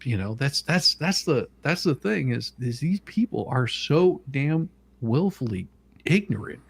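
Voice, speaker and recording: Man, husky voice, mid pitch, livestream co-host, reading documents aloud, blunt casual commentary. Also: big pitch swings, unhurried, no echo.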